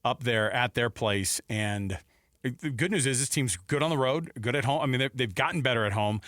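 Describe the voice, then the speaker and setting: A man's voice: mid-range voice, moderate pace, fairly bright tone, dry recording. Chatty male sports radio host, dry studio sound. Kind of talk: casual sports talk radio.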